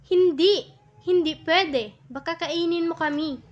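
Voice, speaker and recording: A woman voicing childlike, excitable forest animals in a puppet show. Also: very high-pitched, slow pace, muffled recording. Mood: alarmed refusal.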